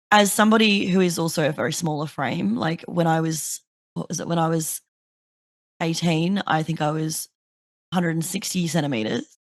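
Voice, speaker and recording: Brief: woman host with a lowish voice, dry close sound, chatty, swears a lot. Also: no echo. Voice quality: deeper voice. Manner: chatty, swears freely, animated storytelling. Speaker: podcast host. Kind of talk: casual chat.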